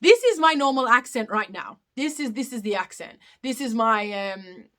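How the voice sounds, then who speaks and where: A woman's voice: on the high side, animated, normal speed, a bit bright. A young woman with an Australian accent with slight British touches, hosting a casual live question-and-answer chat with many ums and self-corrections. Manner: chatty, rambling, frequent ums and self-corrections. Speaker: live stream host.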